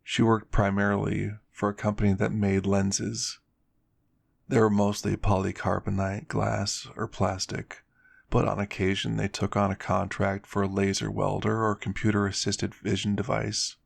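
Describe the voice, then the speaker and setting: A man's voice: lowish voice, completely flat delivery, normal speed, vocal fry, dry recording. A man narrating a scripted story in an ASMR style.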